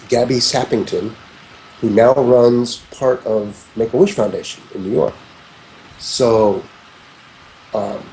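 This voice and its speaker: Fifty-something man, mellow rambling talk. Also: medium pitch, slight background noise, rough recording. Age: 50s. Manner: rambling, frequent restarts and "you know"s, reflective. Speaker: interview guest, music producer. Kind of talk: casual conversational interview.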